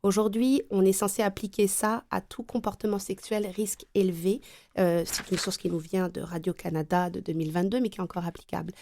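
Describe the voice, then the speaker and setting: A woman's voice: mid-range voice, steady tone, fairly quick, vocal fry, warm tone. A woman from France with a French accent, explaining her view as a panel guest with frequent hesitations. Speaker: consultant and trainer, panel guest. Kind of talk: round table discussion.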